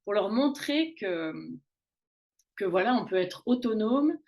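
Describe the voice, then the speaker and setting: Woman in her late forties, halting chat. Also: medium pitch, animated, darkish tone. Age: late 40s. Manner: halting, many restarts and fillers. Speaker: guest on a women's wellness talk show. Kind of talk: casual conversational talk. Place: in Canada.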